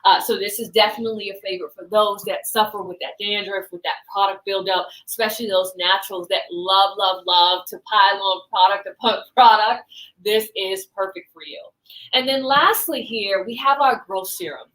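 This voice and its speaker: A woman's voice: mid-range voice, fairly even intonation, moderate pace, fairly bright tone, rough recording. A woman from the Bahamas presenting and demonstrating her hair-care brand's products, explaining step by step and often checking in with "right?".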